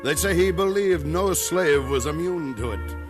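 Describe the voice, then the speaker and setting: An actor narrating a scripted 1950s radio drama as a solemn storyteller, with music behind him. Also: male voice, a little high, a little slow, close to an everyday voice. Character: solemn storyteller man recounting a rebellion.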